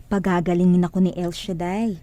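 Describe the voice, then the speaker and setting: A woman's voice: fairly low pitch, level tone, fairly quick, dark tone, lightly acted. An actress playing an earnest, devout and hopeful woman facing illness in a radio drama. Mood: firm faith.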